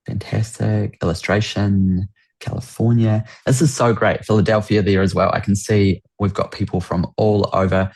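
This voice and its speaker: A man's voice: low-pitched, a little mellow. A man with a New Zealand accent, hosting a business workshop on a video call and greeting people with lots of enthusiasm. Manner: enthusiastic, repeats welcomes and praise. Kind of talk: upbeat webinar hosting.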